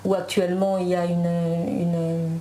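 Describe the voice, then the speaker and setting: A woman's voice: fairly low pitch, little pitch movement, normal speed, dark tone, a little hiss or hum. Hesitant woman, native Spanish speaker. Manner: hesitant, many fillers and restarts. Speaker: guest. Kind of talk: casual interview chat.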